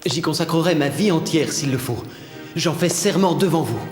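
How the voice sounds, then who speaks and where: A man's voice: fairly high pitch, expressive, moderate pace, reverberant, in a large hall, soft background music, natural voice. A man playing a lovestruck young prince in a dubbed cartoon fairy tale, speaking formally and deferentially, with ardent sincerity. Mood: solemn.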